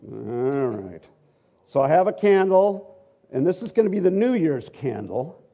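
A middle-aged man teaching at a church, relaxed and joking, with halting asides. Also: on the high side, lo-fi sound. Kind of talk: conversational sermon.

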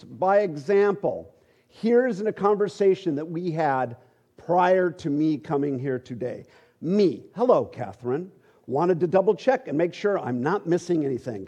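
Warm, husky-voiced man giving a sermon. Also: on the high side, lo-fi sound. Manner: relaxed, joking, halting asides. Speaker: church teacher. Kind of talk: conversational sermon.

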